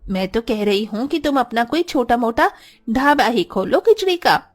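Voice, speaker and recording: Woman playing a high-voiced, kindly cartoon mother. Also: sing-song, normal speed. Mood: affectionate encouragement. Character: kind, encouraging middle-aged mother. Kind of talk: cartoon story acting.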